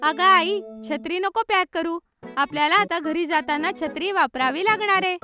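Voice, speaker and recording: A woman voicing an eager, excited little girl in a children's cartoon. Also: very high voice, moderate pace, soft background music.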